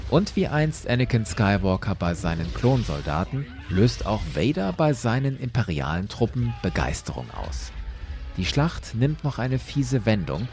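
A man's voice: on the low side, very animated, measured pace, a little mellow, faint music behind. A man retelling a comic as an audio story, mixing vivid narration with wry, casual asides. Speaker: podcast host and storyteller.

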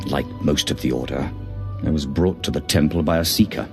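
A man playing an aging, grave fallen Jedi count in an audio drama, speaking in a measured, reflective way. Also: very deep voice, vocal fry. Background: dramatic score music.

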